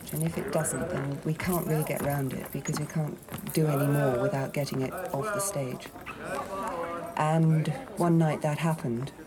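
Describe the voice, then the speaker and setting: A woman, a deputy stage manager, calling cues backstage and recalling a night's mishap in a brisk, practical way. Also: extremely low pitch. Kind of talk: backstage cue calls and interview recollection.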